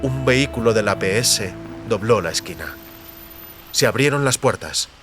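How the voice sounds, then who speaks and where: Narrador masculino de audioficción, con música tenue. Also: male voice, fairly low pitch, lively intonation, normal speed, music in the background. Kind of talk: scripted dramatic story narration.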